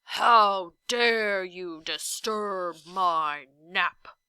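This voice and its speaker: A woman's voice: mid-range voice, very slow, sharp tone, smooth, no room sound. A woman voicing a huge gelatinous giant in a children's storybook, blustering and ferocious yet timid and hesitant. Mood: booming, menacing. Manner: blustering, hesitant, hedging every statement. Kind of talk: children's storybook read-aloud.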